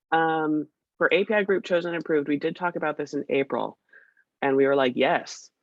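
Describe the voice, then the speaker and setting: A woman's voice: low voice, moderate pace, no echo. A woman with a scratchy voice from a cold, giving a chatty, hesitant update with many fillers on a video call. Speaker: meeting presenter. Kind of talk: casual work meeting update. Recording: dull, dry video-call audio.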